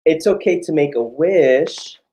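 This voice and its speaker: Drag queen doing kids story time, really slow n drawn out, theatrical, encouraging, mid-pitched voice. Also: expressive, warm tone, slight background noise. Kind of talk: children's read-aloud with playful banter.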